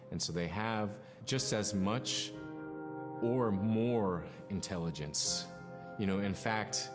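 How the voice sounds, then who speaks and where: A low male voice in a long reverb, with music playing behind. He speaks as a storyteller in a documentary interview, choosing phrases thoughtfully with short pauses.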